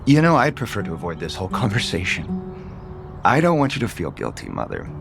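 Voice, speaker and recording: Very deep-voiced, conflicted grown son. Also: male voice, huge pitch swings, brisk, vocal fry, over music, full character voice. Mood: bitter, earnest. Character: conflicted, guarded adult son, unhappily married father. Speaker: actor in an audio soap opera. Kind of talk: naturalistic soap opera acting.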